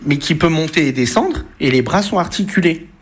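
A man's voice: on the high side, animated, brisk, breathy edge. A man from the Belgian Ardennes chatting casually as an interviewee, plain-spoken and colloquial, sometimes repeating himself. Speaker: interviewee, Lego builder.

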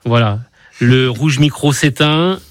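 A man in his sixties, a retiring radio host with a slight cold, giving a halting, heartfelt farewell on live air. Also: medium pitch, very noisy. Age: 60s. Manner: halting, heartfelt, many restarts. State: has a cold. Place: radio studio.

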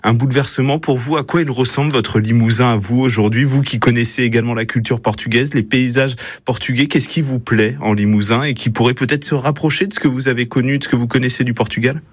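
A man's voice: mid-range voice, fairly even intonation, quick pace, few pauses, slightly rough, no echo, clean studio sound. A man interviewing a guest on the radio, asking long polite questions with a few restarts.

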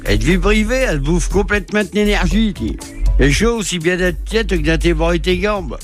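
A man's voice: high voice, lively intonation, moderate pace, faint music behind. A man with a thick Picard accent reading a comic horoscope on regional radio, folksy and teasing. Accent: Picard (ch'ti). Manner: folksy, teasing, talks to listener as "tu".

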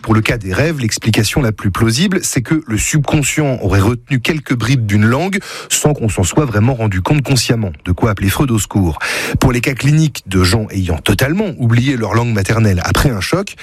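A man with a slightly husky voice reads a scripted radio piece, explaining with dry, wry humor. The studio sound is very dry, with no room echo. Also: medium pitch, lively intonation, a little fast. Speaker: radio columnist.

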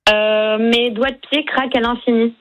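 A woman's voice: fairly high pitch, steady tone, moderate pace, a bit bright, rough recording. A woman from Paris calling into a radio game, answering hesitantly with many fillers. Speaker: caller, game contestant. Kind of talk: phone-in chat.

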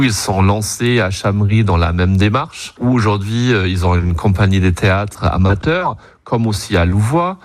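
A middle-aged man with a German accent speaks French in a low register. He is a park director giving an interview, looking back on his work as a native German speaker would in a second language. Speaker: regional nature park director. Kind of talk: recorded interview, recollecting.

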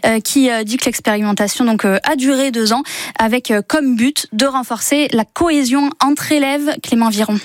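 A woman presenting the morning radio news, brisk with frequent fillers. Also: a little high, no echo, studio quality.

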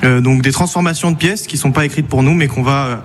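A man's voice: medium pitch, fast, a little hiss or hum. A young man, a saxophonist guest, explaining carefully with many hesitant fillers at an outdoor festival.

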